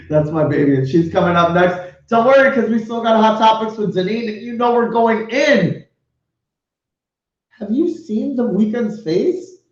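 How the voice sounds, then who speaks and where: Effusive higher-voiced man host, echoey. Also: animated, slow pace, long pauses. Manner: effusive, swears, laughs a lot. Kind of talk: casual live show chat. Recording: echoey lo-fi stream audio.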